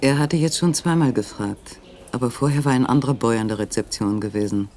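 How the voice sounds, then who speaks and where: A man's voice: mid-range voice, a little fast, darkish tone, lightly acted. A man reading scripted narration for a radio play, on a recording with faint noise.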